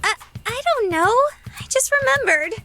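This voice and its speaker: A man voicing a sweet, shy, childlike young woman in a visual novel, with a timid, halting delivery. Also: extremely high pitch, expressive, music in the background, voice pushed up. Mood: sad.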